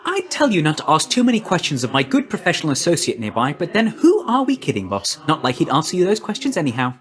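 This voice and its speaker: A man's voice: on the high side, wildly swooping pitch, quick pace. A man voicing a breezy, chummy young messenger god in a video game, with a slangy, glib, friendly manner.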